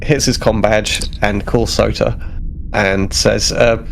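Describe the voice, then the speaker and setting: A man's voice: fairly low pitch, fairly even intonation, slow, vocal fry, a little hiss or hum, low-quality recording. A man casually narrating his character's actions as a player in a tabletop role-playing game, improvising conversationally.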